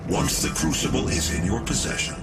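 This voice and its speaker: An actor playing a grave, calculating director of an energy operation in a video game, speaking in a measured, solemn way. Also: male voice, natural voice. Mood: commanding. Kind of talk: video game cutscene dialogue. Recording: echoey, heavy loud background noise.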